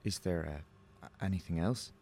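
A man's voice: low-pitched, moderate pace, creaky, a little mellow. An actor playing a nervous, polite man, a hesitant and meek first-time contestant in an audio drama. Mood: awkward, meek. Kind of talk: naturalistic audio drama acting.

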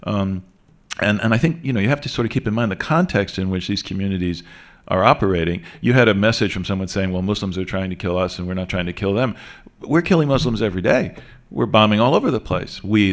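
A man on a radio panel making pointed arguments, hesitating with many fillers. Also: lowish voice. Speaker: panel guest.

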